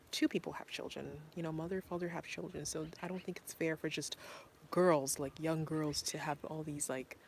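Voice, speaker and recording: A woman's voice: sits low, darkish tone, slight background noise. A woman from Zimbabwe, a parent, talking casually and earnestly with many fillers and restarts. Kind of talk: casual talk to camera.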